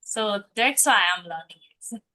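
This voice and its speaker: A woman's voice: mid-range voice, expressive, measured pace, sharp tone, a little hiss or hum, low-quality recording. A woman from Punjab with an Indian English accent, hosting a video call interview in a polite, formal and careful manner. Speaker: host, spoken English teacher.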